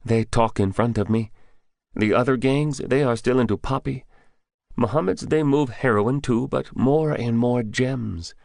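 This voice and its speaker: A man's voice: medium pitch, gravelly, darkish tone, no room sound, clean studio sound, voice pushed up. A man voicing a frightened young male village storekeeper in an audiobook, anxious and pleading. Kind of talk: audiobook character voice.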